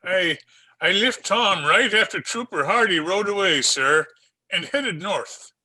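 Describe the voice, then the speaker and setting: An actor playing a distraught older police sergeant in an old-time radio drama, halting and repeating himself in grief. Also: male voice, high voice, lively intonation, pushed and bright, full character voice. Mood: subdued, grieving. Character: anguished, shaken older Irish police sergeant, grieving uncle.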